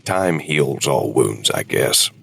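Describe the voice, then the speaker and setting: A man's voice: a bass voice, animated, slow pace, vocal fry, pushed and bright, slight background noise, heavily acted. A man playing a weary older husband and war veteran, regretful but devoted, in an audio drama. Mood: subdued, tender.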